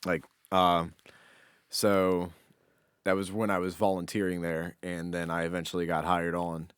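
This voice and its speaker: A man's voice: sits low, level tone, a little slow, clear, resonant tone, dry recording, studio quality. A man co-hosting a podcast in casual, informal chat, with some offhand swearing. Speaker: podcast co-host.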